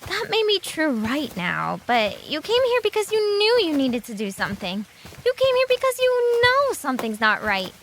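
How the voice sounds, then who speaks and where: A skeptical young woman in an audio drama, blunt and easily exasperated. Her high voice swings widely in pitch, with faint ambience behind. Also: huge pitch swings, moderate pace, background noise, full character voice. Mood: earnest, insistent.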